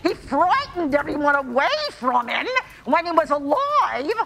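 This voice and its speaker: Radio play crone, acted by a woman: high swooping voice, gleefully callous, shrill, slight background noise. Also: very animated, measured pace, creaky, pushed and bright, exaggerated voice, rough recording. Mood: gleeful, scornful. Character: gleeful, callous old female scavenger selling a dead man's things. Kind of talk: theatrical radio play acting.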